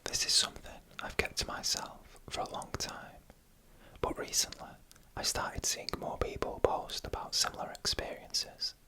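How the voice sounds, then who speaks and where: A narrator reading a scary story in a soft, unvoiced ASMR whisper, slowly and deliberately in short phrases with pauses. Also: rough recording.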